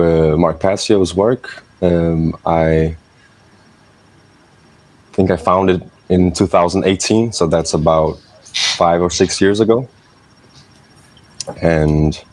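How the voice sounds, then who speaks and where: Slow, very deep-voiced man. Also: a little mellow. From Italy. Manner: slow, thoughtful, many ums and pauses. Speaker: roundtable guest. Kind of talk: casual discussion.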